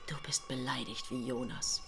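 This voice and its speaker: A woman's voice: a bass voice, flat delivery, moderate pace, vocal fry, fairly bright tone, faint music behind, exaggerated voice. A woman playing a small, teasing angel in a radio play, with an ironic, coolly taunting manner.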